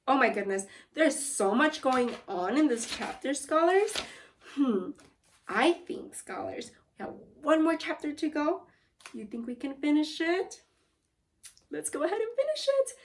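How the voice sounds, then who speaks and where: A woman teacher reading a storybook aloud to young pupils and talking to them about it. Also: high voice. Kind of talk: animated read-aloud and lesson talk to children.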